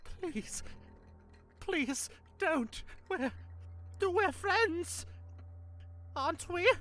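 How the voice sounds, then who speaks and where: A tall, gentle skeleton stammers out pleas in a high, wavering voice, very slow and broken up. His voice swings widely as the fear shakes it. A faint hiss of noise runs underneath. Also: expressive, heavily acted. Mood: frightened. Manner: stammering, frightened. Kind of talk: fan audio drama.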